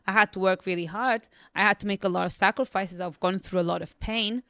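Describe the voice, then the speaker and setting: Casting: young adult woman, non-native English, self-assured podcast guest, mid pitch, dry close mic. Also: fairly even intonation, fairly quick, a bit bright, dry recording. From immigrant raised in the UK. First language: not English. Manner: confident, emphatic, repeats "you know what I mean". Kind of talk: conversational interview.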